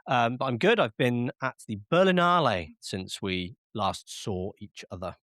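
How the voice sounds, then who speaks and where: A man's voice: mid-range voice, lively intonation, measured pace, a bit bright, no echo, clean studio sound. Nasal-sounding man with a cold. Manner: chatty, many fillers and restarts. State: has a cold, slightly nasal. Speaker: podcast host. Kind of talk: casual film chat.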